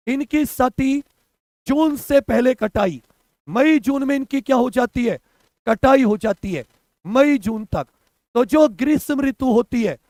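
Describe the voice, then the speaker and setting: A hoarse young man teaching a live online exam-prep class, repeating answers and quizzing his students. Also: very high voice, darkish tone, dry recording. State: hoarse from a cough.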